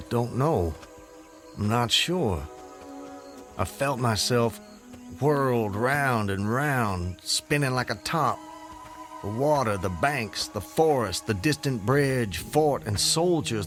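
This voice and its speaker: An actor in a radio drama playing a dazed fugitive who recounts his escape in a halting, dramatic, old-fashioned storytelling manner. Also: medium pitch, a bit bright. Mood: dazed, giddy.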